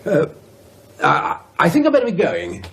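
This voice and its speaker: A man's voice: a little high, wildly swooping pitch, normal speed, gravelly, a little hiss or hum, full character voice. A man playing an anxious, evasive English university academic in a radio drama, hesitant, stammering and apologetic. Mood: awkward, evasive.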